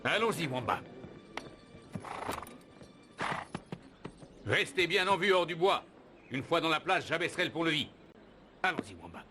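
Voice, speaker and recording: A man's voice: on the high side, animated, brisk, noisy background, natural voice. A man voicing a brave, commanding knight hero in a cartoon dub. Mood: resolute. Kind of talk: French-language cartoon dubbing.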